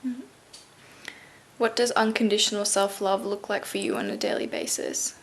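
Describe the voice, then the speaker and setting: Woman interviewer with gravelly fry. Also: mid-range voice, very animated, moderate pace, a little hiss or hum. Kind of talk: interview questions.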